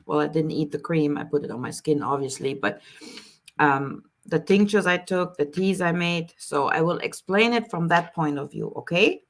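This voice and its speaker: A woman from Germany with a German accent, hosting a casual live question-and-answer stream, greeting viewers by name and talking in an unhurried way with many fillers. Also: low voice. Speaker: live stream host, spiritual healer. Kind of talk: casual live Q&A, reading chat comments.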